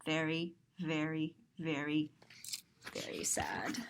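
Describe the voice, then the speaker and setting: A woman's voice: low-pitched, very animated, slow pace, vocal fry, heavy background noise. A woman reading a picture book aloud to young children, describing it in simple, repeated words with lots of expression. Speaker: storyteller, teacher.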